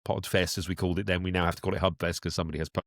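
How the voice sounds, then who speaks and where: A man's voice: sits low, little pitch movement, very fast, gravelly, no echo, studio quality. A man talking at length as a guest in a conversational podcast interview, explaining things in a rambling way. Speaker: guest, voice actor and director.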